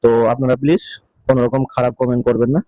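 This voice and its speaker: A man speaks quickly as a casual video host. His voice is mellow and fairly even, recorded dry and a little dull. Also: medium pitch, no room sound. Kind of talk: casual vlog update. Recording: dull, lo-fi close dry sound.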